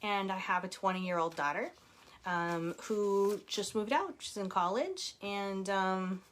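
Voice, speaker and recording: A woman's voice: mid-range voice, slow pace, slight background noise. A woman in her forties, an author hosting a casual live chat from home, reading out viewer comments and laughing often. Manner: chatty and warm, reads viewer comments aloud, laughs often.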